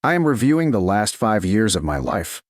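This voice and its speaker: A man's voice: lowish voice, big pitch swings, moderate pace, dry recording. A man voicing a stern, commanding duke in an audiobook romance, wounded but controlled, speaking in clipped and certain phrases. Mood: reproachful.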